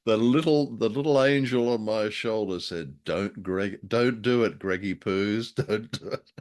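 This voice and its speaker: A man's voice: lowish voice, measured pace, a little mellow. A man with an Australian accent co-hosting a show in casual, unscripted chat, with a jokey, dry way of telling things. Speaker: podcast co-host.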